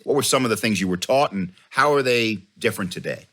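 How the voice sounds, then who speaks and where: A middle-aged man interviewing a guest, asking thoughtful, measured questions with frequent hesitant fillers. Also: on the low side, lively intonation, a bit bright. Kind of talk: conversational interview.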